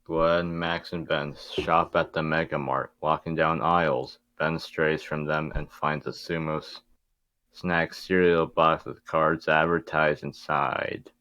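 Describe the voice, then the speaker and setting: A man's voice: a bass voice, flat delivery, takes it slowly, pushed and bright, clear, resonant tone. A man reading stage directions aloud as narrator in an amateur table read.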